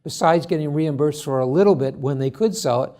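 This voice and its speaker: A man presenting his startup at a conference forum, answering judges' questions in a halting, earnest way full of restarts. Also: fairly high pitch, warm tone. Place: conference hall.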